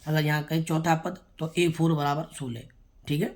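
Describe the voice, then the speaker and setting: A man teaching a school math lesson, with a sore throat he keeps clearing. He speaks straight to the children and repeats each step. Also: on the high side, level tone, moderate pace, a little mellow. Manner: repetitive, explains step by step, addresses the children directly. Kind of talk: classroom math lesson for students.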